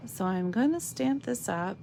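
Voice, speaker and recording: A woman with a slightly raspy voice giving a chatty live craft demonstration, thinking aloud as she stamps and colors. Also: medium pitch, animated, warm tone, a little hiss or hum. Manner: slow, chatty, thinks aloud while working.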